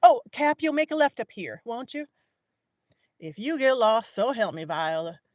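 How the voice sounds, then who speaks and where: A woman voices a lively, stern woman who scolds and teases down a phone line. She talks loud and high, with sarcastic bite. The sound is close and dry. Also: moderate pace, no room sound. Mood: bossy.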